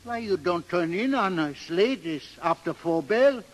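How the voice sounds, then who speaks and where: An actor playing a fond, superstitious old Swedish barge captain in broken English, in a 1930s radio drama. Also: male voice, sits high, expressive, moderate pace. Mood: anxious, urgent.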